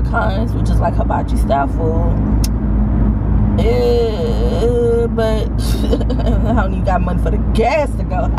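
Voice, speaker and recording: A young woman chatting casually to her viewers while driving, rambling and laughing as she complains. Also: medium pitch. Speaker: vlogger. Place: inside a parked and moving car. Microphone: phone camera on the dashboard. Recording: loud car and street noise.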